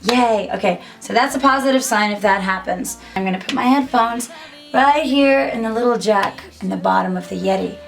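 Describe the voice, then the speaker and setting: A woman's voice: medium pitch, normal speed, gravelly. A young woman giving a casual, upbeat tutorial to camera from a small home music studio, chatty and full of "like". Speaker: musician and YouTuber.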